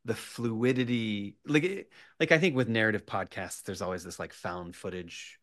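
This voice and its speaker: A man speaking as a writer guest in a casual interview, rambling and animated, often hesitating and correcting himself. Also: on the low side, moderate pace, no echo, studio quality. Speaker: podcast guest, horror writer.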